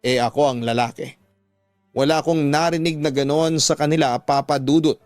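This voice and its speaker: A man's voice: fairly high pitch, steady tone, normal speed, a little mellow, no room sound. A man with a Filipino accent telling a dramatic first-person story as a scripted radio drama narrator. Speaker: radio drama narrator reading a listener's letter. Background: music.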